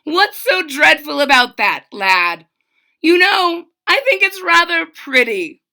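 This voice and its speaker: A woman's voice: sits high, big pitch swings, slow, sharp tone, voice pushed up, exaggerated voice. A woman voicing a vain male captain of the guards in a children's storybook, jolly, boastful and scoffing. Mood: amused. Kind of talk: children's storybook read-aloud.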